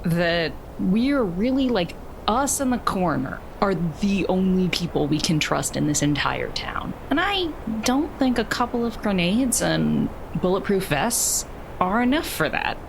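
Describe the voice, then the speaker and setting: A woman's voice: on the low side, big pitch swings, unhurried, vocal fry, background noise. A woman playing a tabletop roleplaying game, chatting casually at the table.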